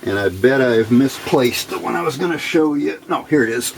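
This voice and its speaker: A man's voice: mid-range voice, animated, normal speed, creaky, slight background noise, poor audio. A man presenting an informal hands-on electronics build, talking in a folksy, plainspoken way as he thinks aloud.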